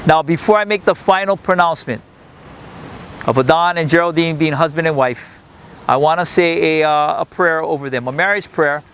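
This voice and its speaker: A male officiant leads a beach wedding ceremony in a slow, solemn cadence. His first language is Hawaiian, and his voice sits a little high for a man. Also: a bit bright, clean tone, rough recording. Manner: measured, solemn delivery. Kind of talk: wedding ceremony address and prayer.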